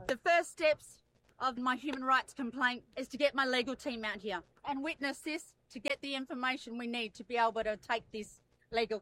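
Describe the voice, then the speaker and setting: A woman's voice: a little high, fairly bright tone, slight background noise, rough recording. A woman making an urgent, indignant public statement, rallying people to her cause. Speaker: activist.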